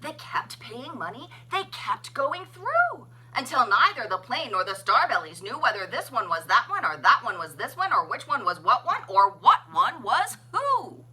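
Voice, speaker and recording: A woman's voice: on the high side, big pitch swings, normal speed, few pauses, sharp tone, a little hiss or hum. A woman reading a rhyming children's story aloud with dramatic, playful emphasis. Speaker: children's library story reader. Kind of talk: expressive read-aloud storytelling in rhyme.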